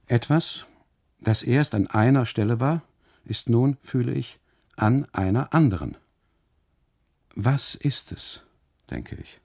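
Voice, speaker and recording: An actor playing an uneasy husband on holiday in a literary radio monologue, narrating inwardly and haltingly in a gravelly, husky voice. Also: male voice, medium pitch, expressive, a little mellow. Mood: anxious unease. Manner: halting, brooding, deliberate phrasing with repetitions and dramatic pauses. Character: uneasy German husband on holiday, obsessively narrating his story.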